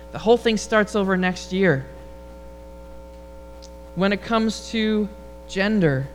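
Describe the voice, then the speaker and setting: A man with a high, rather feminine-sounding voice, preaching a relaxed, humorous sermon and leading prayer before a congregation. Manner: relaxed, storytelling delivery with humor. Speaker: pastor. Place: church sanctuary before a congregation.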